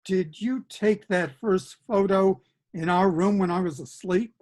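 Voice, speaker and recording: A man playing a bewildered, gullible patient, earnest and halting, in a comic play reading over a video call. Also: high-pitched, darkish tone.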